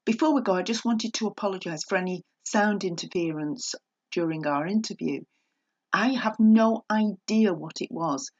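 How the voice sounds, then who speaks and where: A woman's voice: mid-range voice, normal speed, lo-fi sound. A middle-aged woman hosting a podcast, speaking in a chatty presenting style. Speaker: podcast host.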